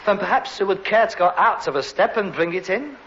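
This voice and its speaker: Swooping, sarcastic Cockney son. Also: male voice, sits high, animated, a little fast, fairly bright tone. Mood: sarcastic, annoyed. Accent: London Cockney. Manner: sarcastic, mock-refined. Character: exasperated, sarcastic adult son with aspirations, rag and bone man. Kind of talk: vintage British sitcom acting. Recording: dull, noisy vintage broadcast recording.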